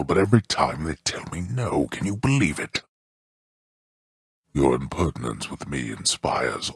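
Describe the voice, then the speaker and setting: A man playing a stern, scornful old god of the underworld in a video game, speaking with pompous, archaic, disdainful diction and a very deep, gravelly voice. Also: fairly bright tone, no echo, studio quality, exaggerated voice. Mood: irritated, imperious. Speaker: voice actor. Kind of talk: theatrical scripted video game character lines.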